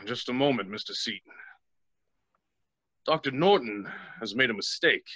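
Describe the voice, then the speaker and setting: An actor playing a skeptical, folksy small-town sheriff in an old-time radio drama, husky and authoritative. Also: male voice, medium pitch, expressive, normal speed, bright, forceful tone, no room sound. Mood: steady, authoritative.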